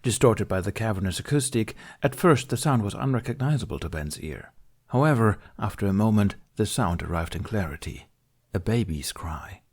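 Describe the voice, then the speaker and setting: A male narrator reads a story from a script. His voice sits low, and the delivery is that of an audiobook. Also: animated, normal speed, a little mellow.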